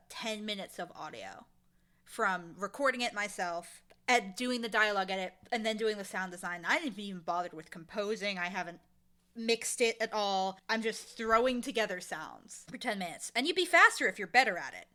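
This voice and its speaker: A woman chatting casually as an interview guest, explaining her craft in an animated, emphatic way. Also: medium pitch, normal speed. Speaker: interview guest, audio drama creator and casting director.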